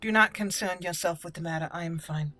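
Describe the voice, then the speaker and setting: A woman voicing a tough, stoic young elf mage in a fantasy game roleplay, speaking formally and with measured words. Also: on the low side, sharp tone, soft background music. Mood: guarded, stoic. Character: tough, guarded young female elf mage, dwarf-raised.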